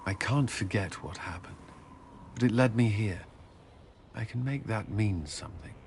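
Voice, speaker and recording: An actor playing an earnest, humbled, dutiful warrior in a video game, speaking sincerely and haltingly. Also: male voice, on the low side, darkish tone. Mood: remorseful. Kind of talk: video game cutscene dialogue. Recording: clear background ambient noise.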